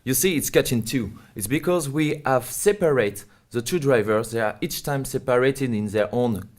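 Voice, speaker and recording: A man's voice: mid-range voice, normal speed. Man explaining a product. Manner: hesitant non-native English phrasing. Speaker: company representative being interviewed.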